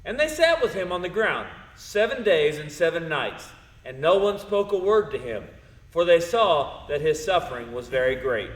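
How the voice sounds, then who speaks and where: High, lively man narrating in echoey hall. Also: moderate pace. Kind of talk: scripted Bible drama narration. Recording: echoey hall with long reverb.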